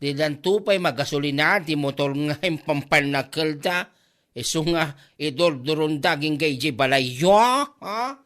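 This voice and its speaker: A woman's voice: deep voice, unhurried. A woman playing a gossipy, mocking neighbour in a comedy drama, teasing and exclamatory. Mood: gossiping, scornful. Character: mocking, gossipy neighbour who teases and scolds. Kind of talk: Ilocano comedy drama acting.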